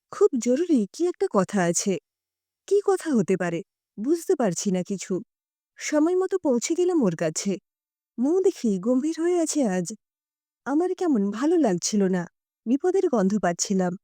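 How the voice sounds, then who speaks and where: A woman narrates an audio story with an emotive, dramatic delivery. Her voice sits high and moves through wide, lively swings of pitch. The tone is soft and mellow, reading in the first person. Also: dry recording, studio quality.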